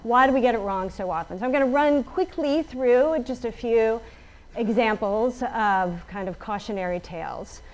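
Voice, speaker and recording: An energetic woman with a fairly high voice gives a conference talk in a large open event space. She has no working mic, so a far camera picks her up from across the room, and she keeps asking the audience questions. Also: moderate pace. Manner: energetic, lots of fillers, asks the audience questions. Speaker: guest speaker, statistician. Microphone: no working mic, room pickup from a far camera.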